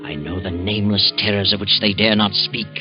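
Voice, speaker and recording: Guy acting a creepy all-knowing american radio mystery narrator, ominous n deliberate, muffled old recording w music under him. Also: lowish voice, natural voice. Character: sinister all-knowing mystery narrator who walks by night. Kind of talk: 1940s radio drama narration.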